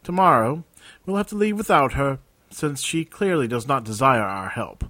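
A man's voice: mid-range voice, lively intonation, sharp tone, no room sound, pitched above the performer's own voice. A man voicing a fussy, penny-pinching merchant official, formal and clipped. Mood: prim. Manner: prim, quick and formal.

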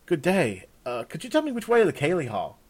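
A man's voice: on the high side, huge pitch swings, fairly quick, slightly rough, pitched above the performer's own voice, exaggerated voice. A man playing a blunt, headstrong woman of rank who talks informally and demandingly in a fantasy story. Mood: grateful. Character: blunt, headstrong young noblewoman, easily exasperated. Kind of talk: fantasy audiobook character.

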